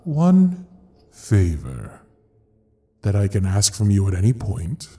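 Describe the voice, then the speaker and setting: Man playing a deep, slow, suave devil. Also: huge pitch swings, full character voice. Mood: sly, insinuating. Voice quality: low, dark, velvety. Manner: slow, courtly, deliberate, teasing. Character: suave, menacing male devil ruling a frozen hell. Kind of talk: ASMR audio roleplay acting.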